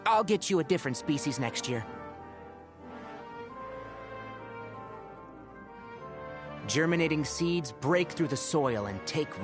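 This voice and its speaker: A young fox-eared forest ranger from a fantasy game. He is bookish and dry-witted, with a lively, swooping delivery and careful diction, over clear background music. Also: medium pitch. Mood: kindly, instructive. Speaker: video game character. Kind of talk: scripted game voice lines.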